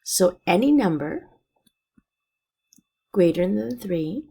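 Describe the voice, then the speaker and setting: A woman teacher explaining a math lesson step by step, her voice raspy and hoarse from being sick. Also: mid-range voice, lively intonation, takes it slowly, dark tone. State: hoarse from illness.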